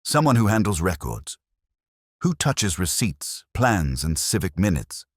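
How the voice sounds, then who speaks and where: A man voicing a shrewd middle-aged male police inspector who speaks quietly and deliberately, with a husky voice. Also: low-pitched, sing-song, dry recording, studio quality, pitched above the performer's own voice, exaggerated voice. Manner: quiet, measured, probing. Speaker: detective inspector.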